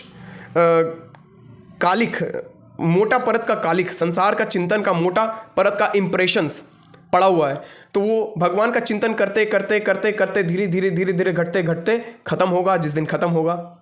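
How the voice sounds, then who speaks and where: A young man with a notably high voice delivers a spiritual discourse. He is earnest and instructive, moving between reading and informal explanation. He often repeats short phrases to press a point home. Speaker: spiritual teacher.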